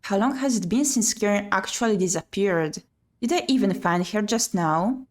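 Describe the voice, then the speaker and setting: A woman's voice: mid-range voice, lively intonation, normal speed. A woman streamer reading a visual novel aloud and chatting casually about it. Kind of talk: casual playthrough commentary and narration. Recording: clear background noise.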